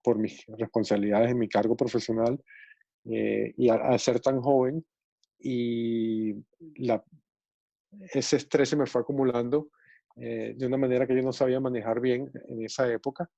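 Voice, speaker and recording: A man's voice: mid-range voice, vocal fry, dry recording. Venezuelan man chatting on a video call. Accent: Venezuelan Spanish. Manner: relaxed, many fillers and pauses. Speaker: guest. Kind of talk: casual storytelling on a video call. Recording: muffled video call audio.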